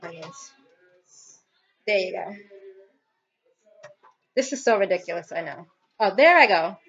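A woman's voice: medium pitch, expressive, unhurried, slightly rough. A woman in her thirties giving a casual, chatty home cooking vlog, talking viewers through each step while her hands are busy. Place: home kitchen, indoors. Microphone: built-in camera or phone mic within arm's reach. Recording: dull lo-fi audio, loud noise, clicking.

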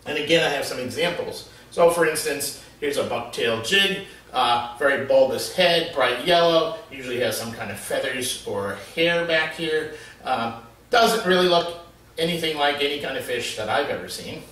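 A middle-aged man, an animal behaviorist, explains things patiently and steadily, often pausing on "uh". His voice rings around a very reverberant space. He sits a little back from the microphone. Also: mid-range voice, expressive, fairly bright tone. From Florida Keys, USA. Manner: measured, explanatory, with frequent "uh" fillers. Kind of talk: explanatory video lesson. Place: covered porch with wooden wall. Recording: very echoey, slightly distant.

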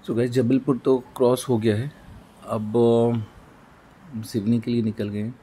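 A man vlogging a road trip from inside a moving car, narrating casually with frequent filler pauses. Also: mid-range voice, steady tone, mellow, soft background music, rough recording. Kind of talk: casual narration while driving. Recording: car with faint road noise.

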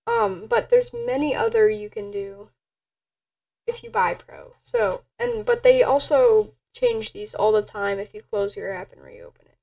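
High voiced woman doing a casual how-to, kinda halting and unsure. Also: big pitch swings, creaky, darkish tone. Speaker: tutorial presenter. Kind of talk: casual screen-recorded software tutorial.

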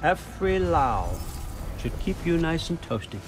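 A man's voice: a little high, big pitch swings, unhurried, music in the background. An actor playing a wily older father god in a video game, talking in a casual, glib, folksy way. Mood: breezy. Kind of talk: video game cutscene acting.

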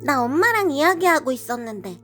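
A woman voicing an innocent, eerie little girl with slow, childlike phrasing in a dramatized horror story. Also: high voice, big pitch swings, fairly bright tone, faint music behind. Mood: innocent, sweet.

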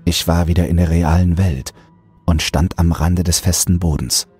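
A man narrating a scripted horror audiobook in a deliberate, brooding delivery. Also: sits low, steady tone, darkish tone, husky, soft background music.

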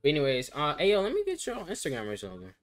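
A man's voice: fairly high pitch, huge pitch swings, rapid-fire. An eighteen-year-old man from Texas chatting with strangers on a video chat site, teasing in blunt, crude slang. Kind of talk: casual trolling banter. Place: bedroom at a computer.

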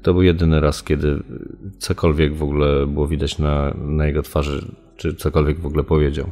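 Very low-voiced man recounting, hesitantly. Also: level tone, fairly quick, mellow. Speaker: journalist giving an interview. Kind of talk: casual spoken recollection.

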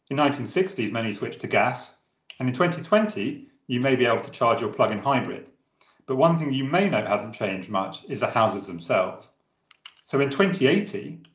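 A man giving a scripted, measured narration over a slideshow of drawings. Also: medium pitch. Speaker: presenter. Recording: roomy echo.

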